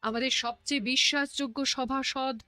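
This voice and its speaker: A woman voicing an imperious, demanding queen in an animated cartoon, with a bossy, emphatic and dramatic delivery. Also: high-pitched, normal speed, no room sound. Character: imperious, demanding young queen, quick to complain.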